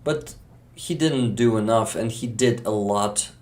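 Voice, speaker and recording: A Ukrainian man speaking English with a Ukrainian accent, talking as a guest in a casual interview, halting with many fillers. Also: lowish voice, darkish tone.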